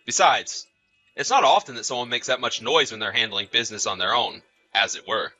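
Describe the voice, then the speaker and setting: A man's voice: medium pitch, fairly quick, bright, forceful tone, soft background music. A man playing an awkward neighbor with a complaint in a scripted audio story, hesitant and tactful but insistent. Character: awkward, tired male neighbor making a complaint.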